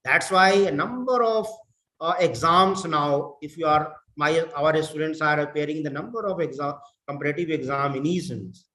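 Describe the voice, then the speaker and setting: A middle-aged man from India with an Indian English accent, giving a deliberate lecture to fellow educators, repeating words and mixing in Hindi. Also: fairly high pitch, bright, forceful tone, reverberant, poor audio. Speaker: professor.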